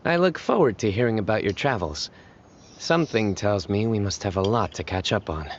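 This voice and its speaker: An actor playing a composed, earnest young investigator in a video game, speaking in a deadpan, formal, measured way. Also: male voice, on the low side, background noise. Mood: welcoming. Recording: muffled game audio with music.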